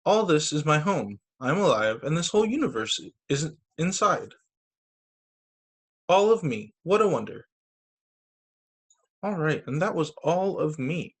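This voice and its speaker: A man reading children's picture books aloud as a story time teacher, in an expressive, sing-song storytelling manner with short asides, over a video call. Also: fairly high pitch, unhurried, pauses often, slightly rough.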